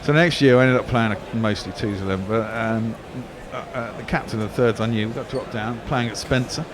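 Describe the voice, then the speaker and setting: A man's voice: mid-range voice, fairly quick. A man giving casual ball-by-ball radio commentary on cricket, rambling into anecdotes between deliveries. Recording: background noise.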